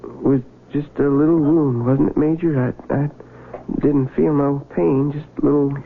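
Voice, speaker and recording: Confused young soldier, country talk, stammers a lot, gravelly, old radio hiss. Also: male voice, fairly high pitch, fairly even intonation, a little slow. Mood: dazed. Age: young adult. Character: dazed young wounded soldier who lost his memory. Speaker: narrator of his own story. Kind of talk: 1970s radio drama acting. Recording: tinny old radio broadcast with loud hiss.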